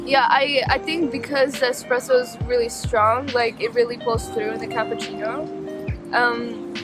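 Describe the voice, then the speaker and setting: A woman's voice: fairly high pitch, level tone, moderate pace, music in the background. A young woman, a student co-host, casually explaining her opinions in an informal review vlog. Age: young adult. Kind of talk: casual chat.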